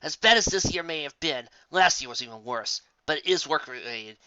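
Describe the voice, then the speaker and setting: A grumpy female pegasus from a cartoon fan story, as a narrator plays her. She is blunt and exasperated, with a bright, tense edge on a dull recording. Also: mid-range voice, lively intonation, normal speed, clean tone, dry recording. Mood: grumbling. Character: grumpy, temperamental female pegasus weather worker.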